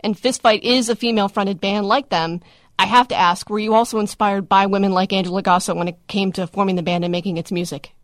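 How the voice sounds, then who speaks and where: Brisk-talking woman radio interviewer. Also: mid-range voice, fairly even intonation, no room sound. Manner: brisk, brief prompts.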